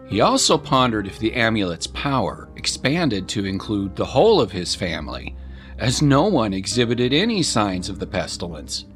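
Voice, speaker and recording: A man narrating a scripted dramatic story in a measured, solemn manner. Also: fairly low pitch, fairly bright tone.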